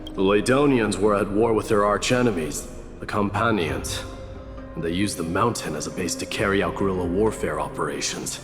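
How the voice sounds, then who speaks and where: A man playing a grave, proud warrior who tells an old legend in a measured, formal way for a video game. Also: sits low, natural voice. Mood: solemn. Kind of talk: dramatic video game storytelling. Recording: reverberant hall, music behind.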